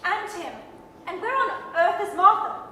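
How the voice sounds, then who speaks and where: A woman's voice: very high-pitched, expressive, measured pace, sharp tone, full character voice. A woman playing a fussing, teasing, affectionate mother in a radio-style staged reading. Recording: very echoey hall, distant, faint hiss.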